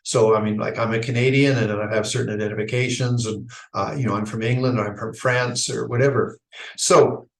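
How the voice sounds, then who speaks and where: A man speaks at a medium pitch, giving a Buddhist teaching in an unhurried, searching way and often restarting his sentences. His voice rings around a noticeably echoey room. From Idaho, United States. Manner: thoughtful, halting, with frequent restarts and fillers. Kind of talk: unscripted dharma talk.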